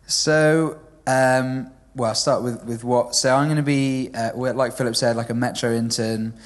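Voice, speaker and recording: A man's voice: medium pitch, steady tone, moderate pace. A man in his twenties from Wales, a native Welsh speaker with a well-spoken southern English accent, talking about himself in a hesitant, chatty way with lots of ums during a church service. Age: 20s. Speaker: church intern. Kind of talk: church stage interview.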